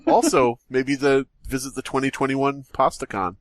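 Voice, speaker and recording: A man's voice: mid-range voice, animated, moderate pace, no room sound. A man co-hosting a comedy podcast, reading a silly horror story aloud with mock-dramatic flair. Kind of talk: casual comedic podcast chat.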